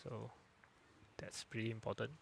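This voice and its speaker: A man giving calm, unhurried live commentary on a lawn bowls match. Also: on the low side, gravelly, a little hiss or hum. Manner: calm, unhurried, plain-spoken.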